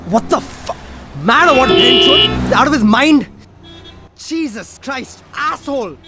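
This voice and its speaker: A woman's voice: medium pitch, a little fast, over music, lightly acted. A woman playing a moody, defensive young partner in a scripted drama, speaking bluntly. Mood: furious. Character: hot-tempered young film-loving partner, moody and defensive.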